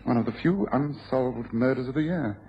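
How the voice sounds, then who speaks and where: An actor playing a courteous, astute British gentleman detective in an old radio drama, precise and given to musing aloud. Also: medium pitch, normal speed, close to an everyday voice. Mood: musing, intrigued. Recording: muffled vintage radio recording with hiss.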